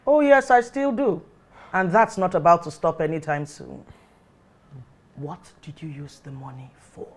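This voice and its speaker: Actress playing a strict Nigerian mother, lively pitch. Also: normal speed, lightly acted. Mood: suspicious, probing. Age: middle-aged. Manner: scolding, probing. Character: suspicious, strict, protective mother of a grown son. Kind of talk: film drama dialogue.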